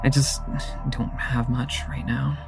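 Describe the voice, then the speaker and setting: A woman's voice: a bass voice, level tone, slow, creaky, music in the background, full character voice. A woman playing a nervous, polite young vampire in an audio drama, hesitant and stammering. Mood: meek, hesitant.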